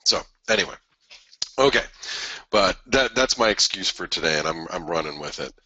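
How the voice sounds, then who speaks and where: A man with a stuffy head cold and a raspy voice, hosting a trading webinar in a rambling, casual way with many restarts. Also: sits low, lively intonation, moderate pace, bright, forceful tone, no echo, lo-fi sound. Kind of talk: casual market analysis talk.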